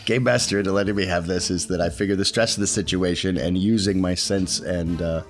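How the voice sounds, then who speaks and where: Halting male gamer, lowish voice. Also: fairly even intonation. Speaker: tabletop game player. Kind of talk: casual game chat.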